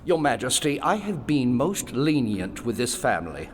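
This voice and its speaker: A man's voice: medium pitch, very animated, moderate pace, lightly acted. An actor playing an officious, whining moneylender in an audio drama, formally pleading his case. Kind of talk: theatrical audio drama acting. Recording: echoing hall, faint ambient noise.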